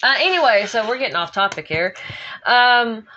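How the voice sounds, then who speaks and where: A woman's voice: fairly high pitch, expressive, moderate pace, sharp tone. A woman chatting casually and informally as co-host of a show, with the odd swear word. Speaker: co-host of a comic book talk show. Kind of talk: casual chat. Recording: muffled home recording with background noise.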